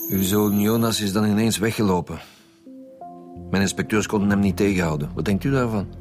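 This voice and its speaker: An actor as stern Flemish police chief. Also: male voice, lowish voice, brisk, music in the background, lightly acted. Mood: measured. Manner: authoritative, formal, probing. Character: stern, weary male chief homicide detective. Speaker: lead police investigator. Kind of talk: naturalistic radio drama acting.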